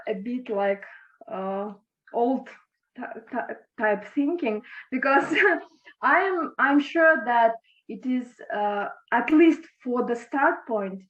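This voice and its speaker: Slow, halting young woman, native Russian speaker. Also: a little high. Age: young adult. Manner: halting, with frequent restarts and stammers. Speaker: interview guest, former intern. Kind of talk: video call interview. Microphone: video call. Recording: echoey, dull lo-fi video call.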